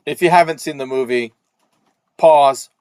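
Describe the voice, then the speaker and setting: A young man co-hosting a casual podcast from home, relaxed, with small stammers and frequent laughs. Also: medium pitch, measured pace, no echo. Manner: relaxed, stammers on first words, laughs often. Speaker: podcast co-host. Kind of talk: casual chat. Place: home room.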